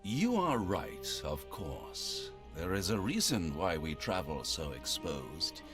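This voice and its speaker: Low, sardonic nobleman commander. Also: very animated, slow pace, heavily acted. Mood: wry. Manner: urbane, sardonic, theatrical. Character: wry, world-weary young nobleman commander, eloquent schemer. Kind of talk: fantasy game cutscene acting. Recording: voice over loud background music.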